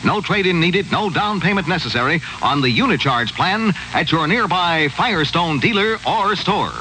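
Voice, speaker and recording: An American man reading a 1960s radio commercial as a polished, emphatic sales pitch. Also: expressive, normal speed, pushed and bright, rough recording. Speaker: commercial announcer. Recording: old radio, faint noise.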